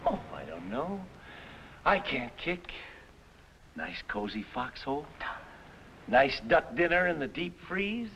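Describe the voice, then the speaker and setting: A man's voice: mid-range voice, big pitch swings, slow, creaky, long pauses, fairly bright tone, a little hiss or hum, heavily acted, rough recording. A male actor playing a blustering, slangy American army sergeant in a 1950s film comedy. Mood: contented. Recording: old 1950s film soundtrack with music.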